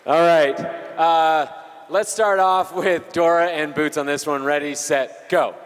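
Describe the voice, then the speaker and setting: A man with a highish, lively voice hosts live improv comedy. He announces scenes unhurriedly and teasingly in an echoey hall with faint noise. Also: measured pace. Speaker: improv show host.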